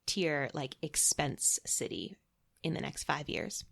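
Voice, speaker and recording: Low, gravelly-voiced woman chatting. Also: lively intonation, slow pace, a bit bright. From Massachusetts, USA. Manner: chatty, laughs often, quotes herself. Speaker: guest. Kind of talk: casual interview chat.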